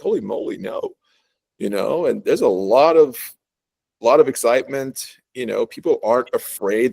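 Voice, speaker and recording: A male company co-founder being interviewed on a podcast, talking with excitement and tripping over his words in his enthusiasm. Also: on the low side, vocal fry, breathy edge, no echo. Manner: excited, enthusiastic, stumbling restarts.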